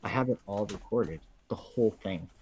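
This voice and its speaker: A man with a husky voice chats casually as a co-host, slangy and profane, repeating phrases for emphasis. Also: on the low side, moderate pace, darkish tone, slight background noise. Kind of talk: casual opinionated chat.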